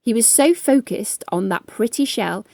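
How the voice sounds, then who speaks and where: A woman reading a children's bedtime story aloud in a gentle, expressive way. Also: fairly high pitch, moderate pace, gravelly, no echo.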